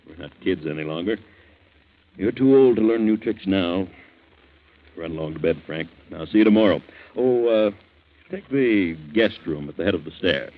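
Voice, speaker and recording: Middle-aged family man, 1940s radio drama: low, expressive, indulgent, resigned. Muffled vintage sound. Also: moderate pace. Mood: fatherly. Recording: muffled narrow-band 1940s radio recording.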